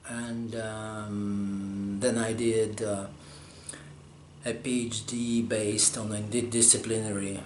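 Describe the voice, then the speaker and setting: A man from Russia, a scholar answering interview questions, pausing often as he searches for his words. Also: lowish voice, flat delivery, a little mellow. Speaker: scholar interviewed about manuscript studies. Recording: roomy echo, faint background hum.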